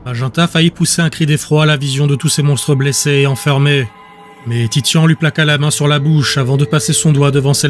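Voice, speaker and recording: A male narrator reads scripted fiction for an audiobook. His voice sits at an average pitch, and his delivery is measured and descriptive. Faint music runs behind him. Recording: music under the voice.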